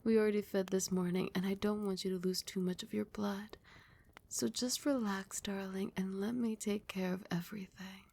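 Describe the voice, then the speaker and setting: A woman playing a doting, dominant, seductive vampire queen in a soft, breathy, often whispered ASMR roleplay, with slow, soothing endearments. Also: mid-range voice, fairly even intonation, natural voice. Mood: tender, intimate.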